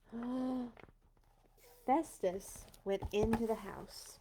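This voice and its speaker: A woman reading a children's storybook aloud, dramatic and expressive, with little personal asides. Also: on the high side, slight background noise. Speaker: storytime reader.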